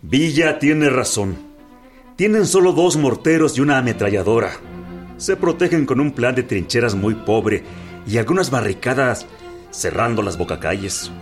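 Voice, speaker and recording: A man's voice: medium pitch, expressive, moderate pace, faint music behind, lightly acted. A man playing a confident revolutionary officer in a radio drama. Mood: assured. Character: confident male revolutionary officer assessing defenses.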